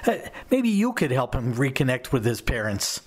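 A man's voice: medium pitch, big pitch swings, moderate pace, breathy edge. A man playing a polite, concerned small-town police officer in an amateur radio drama, slightly hesitant.

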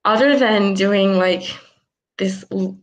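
A woman in her twenties speaks as a guest over a video call, her voice picked up by a laptop mic in a small room at home. She talks slowly and takes her time. Frequent ums and gentle restarts run through her explanations. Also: mid-range voice, rough recording. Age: 20s. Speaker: guest, philosopher. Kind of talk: casual video-call interview.

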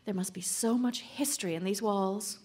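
An actress playing a pompous, bossy famous writer in a live radio drama, gushing and imperious in a theatrical manner. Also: female voice, mid-range voice, moderate pace, a little mellow, breathy edge, close to an everyday voice. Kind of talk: old-time radio drama acting.

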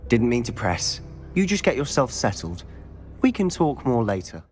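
A British actor playing a self-assured, curious, friendly teenage wizard in a video game. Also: male voice, medium pitch, sing-song, moderate pace, darkish tone, soft background music, close to an everyday voice. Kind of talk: video game cutscene acting.

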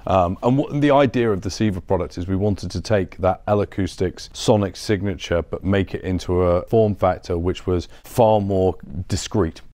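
Deep-voiced man giving a showroom tour. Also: normal speed, few pauses, dark tone, no echo. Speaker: business development director giving a showroom tour. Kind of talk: informal explanatory product presentation. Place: large acoustically treated showroom.

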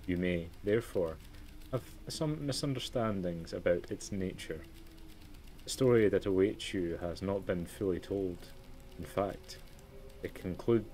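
A man reading as a formal, measured storybook narrator in a story game. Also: low voice, a little mellow, a little hiss or hum. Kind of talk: scripted narration.

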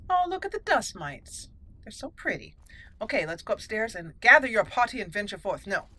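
A woman's voice: mid-range voice, sing-song, brisk, sharp tone. A woman hosting a playful, chatty game commentary, talking to the characters as she plays. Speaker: gaming channel host. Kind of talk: casual roleplay game commentary.

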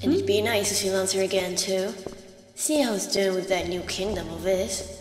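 A woman's voice: medium pitch, moderate pace, close to an everyday voice. A woman voicing a brash, hot-tempered teenage monster girl in a dramatic comic dub, blunt and confrontational but caring underneath. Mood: worried, thoughtful. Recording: background music under the voice. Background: music.

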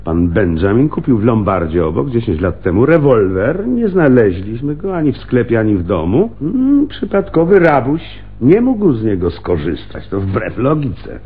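An actor playing a seasoned, wry and commanding police lieutenant in a Polish radio play. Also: male voice, medium pitch, very animated, normal speed, hardly any pauses, husky, narrow, tinny audio. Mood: firm, matter-of-fact.

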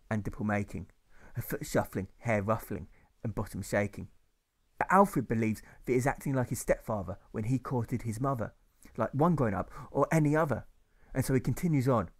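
A man reads his own rhyming novel as an audiobook. His delivery is rhythmic and leans on each rhyme, in an ordinary mid-pitched male voice.

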